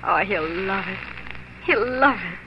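A woman's voice: mid-range voice, expressive, slow pace. An American actress playing a devoted, hopeful young pioneer wife and mother, earnest and emotional in 1940s radio style. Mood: delighted, loving. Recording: muffled, noisy 1940s radio recording.

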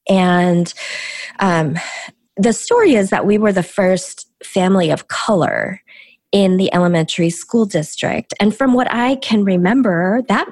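A woman from the Chicago area, whose first language was Korean, telling her life story as a podcast guest in a measured, reflective way with pauses and restarts. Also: mid-range voice, darkish tone, dry recording. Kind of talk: personal storytelling in a podcast interview.

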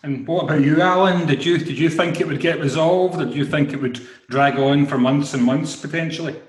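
A man's voice: fairly high pitch, brisk, slightly rough. A man with a Glaswegian Scottish accent, hosting a podcast with scripted introductions. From Glasgow, Scotland. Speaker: podcast host.

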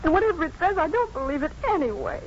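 An actress playing a devoted, emotional but resolute doctor's wife in a 1950s radio drama, earnest and theatrical. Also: female voice, very high voice, big pitch swings, quick pace. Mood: fragile, emotional. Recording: muffled, noisy 1950s radio recording.

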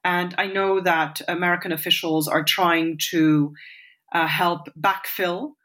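Woman, forties, from Lebanon, interview guest, slightly low voice, measured, reflective, fillers and restarts. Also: steady tone, fairly bright tone. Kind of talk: thoughtful remote interview answers.

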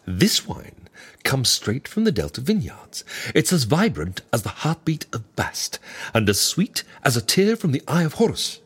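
An actor playing a prim, florid and droll fixer in ancient Egypt, in an audio drama. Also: male voice, mid-range voice, a bit bright, husky, heavily acted. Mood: indignant, florid.

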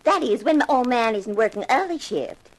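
A woman's voice: on the high side, lively intonation, moderate pace. A woman acting a nosy, chatty, blunt working-class housewife in a 1950s radio drama.

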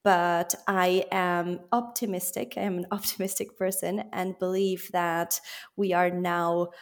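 A woman with a lowish voice answers interview questions on a podcast. She talks in a measured, deliberate way. Her tone stays earnest and thoughtful throughout. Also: steady tone. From born in Switzerland, raised in Belgium. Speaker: podcast guest.

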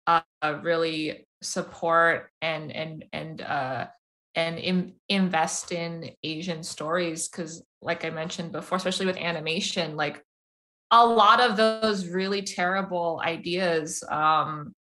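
A young woman who makes animated films, answering interview questions in a casual, halting way with many restarts. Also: on the low side, low-quality recording. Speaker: animation filmmaker.

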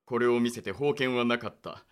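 A man's voice: mid-range voice, a little fast, clear, resonant tone, natural voice. A man playing a suspicious samurai official in a Japanese period audio drama, speaking formally and pressing like an interrogator. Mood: accusing.

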